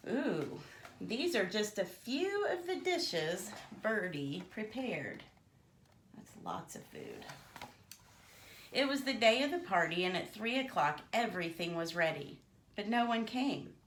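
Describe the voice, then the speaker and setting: A woman's voice: mid-range voice, sing-song, slow, reverberant, a little hiss or hum. A woman reading a children's storybook aloud expressively and theatrically, as if to young listeners. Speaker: storybook reader.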